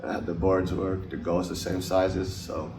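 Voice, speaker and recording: A young man from Finland, a hockey forward, answering interview questions in a relaxed, hesitant way with many fillers and restarts. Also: deep voice, unhurried, music in the background. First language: English and Finnish. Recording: roomy, distant mic, noisy.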